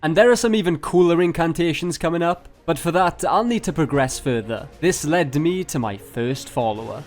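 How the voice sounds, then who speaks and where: A man's voice: a little high, moderate pace, hardly any pauses, a little mellow, faint music behind. A man narrating a video game challenge run as scripted commentary, playful and mock-grandiose with jokey asides.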